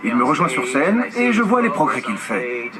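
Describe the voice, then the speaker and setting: A man's voice: mid-range voice, sing-song, normal speed, lightly acted. A middle-aged man dubbing a documentary subject in an earnest, heartfelt voice-over. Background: music.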